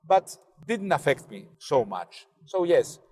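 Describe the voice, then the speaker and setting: A man in his forties from Athens with a Greek accent, speaking English as a second language. He answers interview questions in a car, in a measured way, often pausing to find his words. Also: a little high, sing-song, darkish tone, in a large hall, slight background noise. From Greece, Athens. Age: 40s. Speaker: driver and interview guest. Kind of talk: casual conversation. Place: inside a car.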